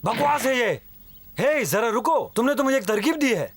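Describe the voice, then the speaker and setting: A man's voice: very high-pitched, sing-song, moderate pace, no echo, exaggerated voice. A man playing a scornful, self-serving schemer in a Hindi cartoon dub, blustery and dismissive. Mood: scornful, scheming.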